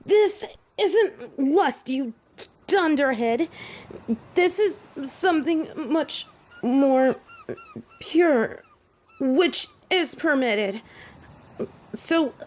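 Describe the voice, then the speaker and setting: A woman playing a snobby, stubborn tsundere angel in an audio drama, huffy and easily flustered. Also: high-pitched. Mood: huffy, defiant. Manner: huffy, haughty, stammering when embarrassed. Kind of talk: audio roleplay drama acting.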